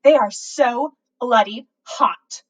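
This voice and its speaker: A woman's voice: on the high side, takes it slowly. A woman voicing a boastful, boy-crazy teenage witch schoolgirl who gushes and stretches out her words for emphasis in a story reading. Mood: gushing, swooning.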